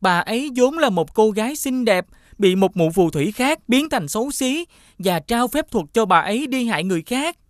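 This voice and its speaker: A woman's voice: fairly high pitch, animated, normal speed, no echo, studio quality, natural voice. A woman playing a grateful, earnest little boy who explains things in a scripted children's fairy tale.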